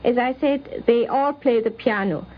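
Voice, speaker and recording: High-voiced middle-aged woman, old radio recording. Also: unhurried. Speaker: interviewee, daughter of the programme's subject. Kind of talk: prepared personal account for radio. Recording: dull 1950s radio recording.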